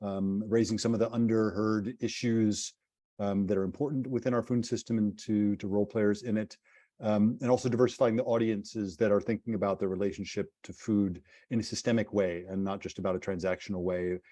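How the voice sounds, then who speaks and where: A middle-aged man with an American accent, speaking as a guest on a webinar panel over a video call, talkative and relaxed with a self-deprecating touch. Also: fairly low pitch, fairly quick, no echo. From United States. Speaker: nonprofit CEO, panel guest. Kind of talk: casual webinar talk.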